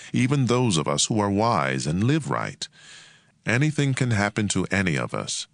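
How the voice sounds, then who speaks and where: Deep, creaky-voiced man narrating scripture. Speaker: audio Bible narrator.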